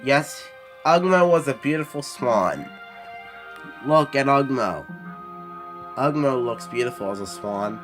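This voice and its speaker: A man's voice: mid-range voice, lively intonation, slow, long pauses, a bit bright, soft background music. A man giving casual, off-the-cuff commentary on a children's read-along in a rambling way.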